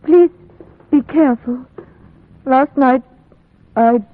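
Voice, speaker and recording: A gentle, frightened young wife in vintage radio horror. Her voice is high and slow, with a hesitant manner. The thin recording rings with reverb. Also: phone-quality sound. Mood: fragile, anxious. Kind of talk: 1940s radio acting. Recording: reverberant, band-limited 1940s radio recording.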